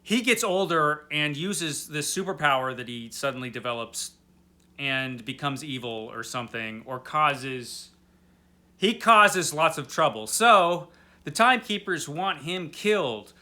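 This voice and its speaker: Male vlog host, slightly high, bright voice, drunk and rambling through a casual review with dry humor. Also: animated, a little slow. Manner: tipsy, rambling; wry, tongue-in-cheek. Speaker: host of a comic book read-along.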